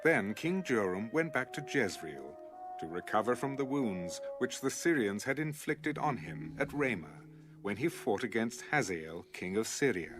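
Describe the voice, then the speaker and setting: A man narrating an audio Bible in a measured, dramatic storytelling manner. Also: lowish voice, big pitch swings, over music. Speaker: scripture narrator.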